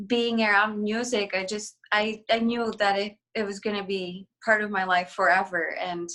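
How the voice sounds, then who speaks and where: Woman from the Czech Republic, young adult, bright tone, animated, casual interview talk. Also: medium pitch. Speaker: violinist and festival artistic director.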